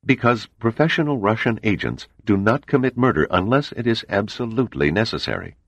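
A man voicing a calm male intelligence official who speaks impassively and evasively. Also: lowish voice, brisk, no room sound, pitched above the performer's own voice.

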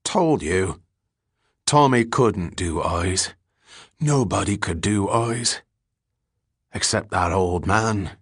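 A man's voice: low-pitched, lively intonation, slow pace, pauses often, husky, dry recording, clean studio sound, heavily acted. A man voicing a ragged, dazed drifter in an audiobook story, bitter and rambling, with repetitive, slangy street talk. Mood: scornful, agitated.

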